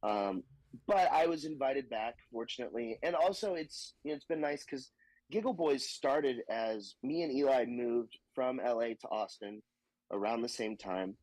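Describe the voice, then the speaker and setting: A man's voice: mid-range voice, expressive, moderate pace, darkish tone, no echo, rough recording. A young man and stand-up comedian talking casually on a podcast, laughing often and joking crudely. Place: Austin, Texas.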